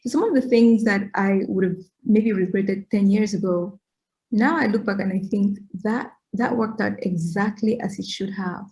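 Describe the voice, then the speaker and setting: A middle-aged woman from Cameroon speaks with a mellow, warm tone. She is earnest and reflective as she gives advice, and her points often end in a quick "right?". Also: mid-range voice, rough recording. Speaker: interviewee. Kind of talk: conversational Q&A talk, advice-giving.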